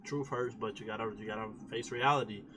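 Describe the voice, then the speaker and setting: A man's voice: on the low side, steady tone, fairly quick, noisy background. A man giving casual, slangy playthrough commentary as a gaming streamer, reacting and reading bits aloud. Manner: informal, slangy. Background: game music.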